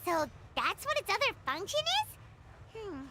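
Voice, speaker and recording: A woman voicing a bubbly, curious, childlike fairy companion in a video game, excitable in manner. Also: very high-pitched, unhurried, very noisy, heavily acted. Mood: curious, puzzled. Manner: excitable, speaks of herself in third person. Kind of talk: video game character dialogue. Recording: game music behind the voice.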